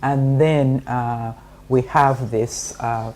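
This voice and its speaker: A woman from Uganda, a guest explaining her book in a measured way with many fillers. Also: very deep voice, fairly even intonation, darkish tone. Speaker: guest, co-author. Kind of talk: interview answers.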